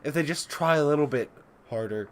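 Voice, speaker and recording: A young man co-hosting a let's play, chatting casually and haltingly reading game text. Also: a little high, a little hiss or hum. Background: background music.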